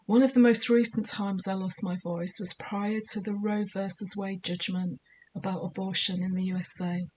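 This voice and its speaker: A woman speaks in an ordinary middle pitch, her voice a little croaky. She delivers spiritual guidance in a measured, soothing, deliberate way. A faint hiss sits under the recording. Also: steady tone. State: slightly croaky voice. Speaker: spiritual guide. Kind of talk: scripted spiritual guidance talk.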